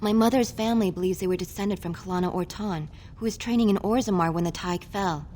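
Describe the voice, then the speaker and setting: Timid young dwarf scholar, medium-pitched woman acting. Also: quick pace, a little mellow, a little hiss or hum, natural voice. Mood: shy, earnest. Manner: timid, apologetic, earnest. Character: shy, hopeful young female dwarf scholar. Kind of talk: video game character acting.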